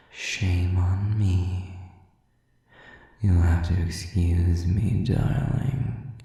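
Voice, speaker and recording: Man playing a slow, low, creaky sadistic demon. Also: warm tone, very echoey, far from the mic, full character voice. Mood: silkily mocking. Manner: slow, drawn-out, mockingly polite, breathy whispers. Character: sadistic male demon, predatory, taunting, silkily menacing. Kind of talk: intimate audio roleplay monologue to the listener.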